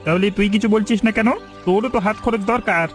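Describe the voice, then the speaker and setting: A man's voice: sits high, fast talker, faint music behind, close to an everyday voice. A man voicing a sly tempter in a village cartoon, coaxing and persuading like one luring youths into shady work. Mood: goading. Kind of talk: melodramatic cartoon voice acting.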